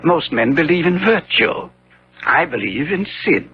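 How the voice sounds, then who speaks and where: A decadent, worldly lord with a high, very animated voice. He is suave and cynical, delivering his epigrams theatrically. It is a slightly noisy vintage radio drama recording. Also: a little slow, phone-quality sound. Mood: amused provocation. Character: dissolute, cynical Victorian lord and worldly tempter. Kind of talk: 1970s radio drama acting.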